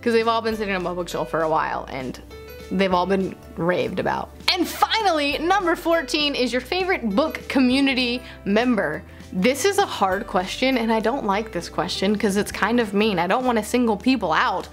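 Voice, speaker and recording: A woman's voice: a little high, lively intonation, normal speed, faint music behind. A woman chatting casually as a book vlogger, playful and self-interrupting, gushing and repeating words. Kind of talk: casual chatty vlog to camera.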